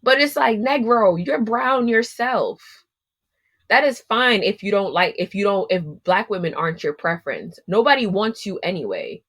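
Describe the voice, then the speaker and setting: A woman's voice: medium pitch, expressive, moderate pace, fairly bright tone. A young adult woman from Guyana co-hosting a podcast, talking bluntly and with plenty of profanity in casual chat. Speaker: podcast co-host.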